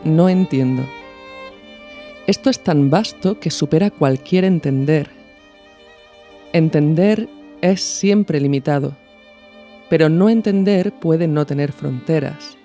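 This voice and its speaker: Woman, Peninsular Spanish accent, podcast host, soft dark tone, dry close sound, music behind, reflective and informal. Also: low-pitched, takes it slowly, long pauses. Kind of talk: reflective commentary with a literary reading.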